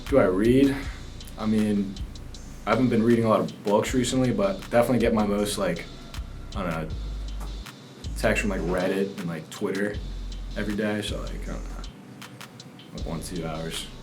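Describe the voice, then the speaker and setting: A man's voice: on the low side, normal speed, long pauses. Laid-back young man, music behind. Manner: laid-back, lots of likes, trails off quietly. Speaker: student interviewee. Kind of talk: casual street interview.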